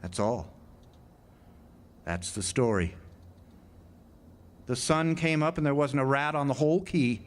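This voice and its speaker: An actor playing a haunted, nervous lighthouse keeper in an old-time radio drama monologue, halting and repeating words between dramatic pauses. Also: male voice, medium pitch, animated, a little hiss or hum, close to an everyday voice. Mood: grim resignation. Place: live amphitheater stage performance.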